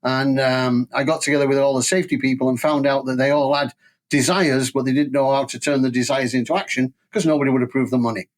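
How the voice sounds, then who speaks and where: An older man speaks with a Manchester English accent at an average pitch. He is a podcast guest recounting his working life in a conversational, storytelling way. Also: steady tone, fast. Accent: Mancunian English. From England. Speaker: podcast guest, safety training company president.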